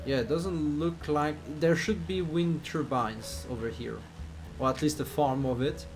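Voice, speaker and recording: Slow-talking man with a Swiss accent. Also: on the high side, music in the background. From Switzerland. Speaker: flight simulator streamer. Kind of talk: casual live stream chat while playing.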